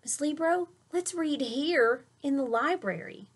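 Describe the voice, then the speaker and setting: Storybook boy detective, female reader: bold, eager, dramatic, pitched up. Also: a little slow. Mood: excited. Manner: dramatic, emphatic. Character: Tyrone, bold eager schoolboy detective, leader of his posse. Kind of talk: children's picture-book read-aloud.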